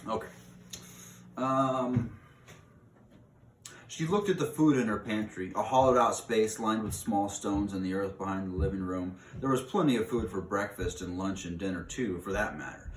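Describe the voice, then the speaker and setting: In an echoey room, away from the mic, a man with a fairly low voice reads a children's book aloud. His delivery is informal, and he corrects himself when he trips on a phrase. Faint noise sits in the recording. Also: moderate pace. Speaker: read-aloud host. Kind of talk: reading a children's novel aloud with casual asides. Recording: roomy echo, distant mic, faint noise.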